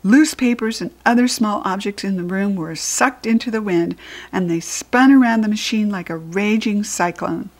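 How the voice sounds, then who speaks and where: American woman, children's book reader, mid-pitched, animated storytelling delivery. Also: normal speed. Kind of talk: animated read-aloud storytelling.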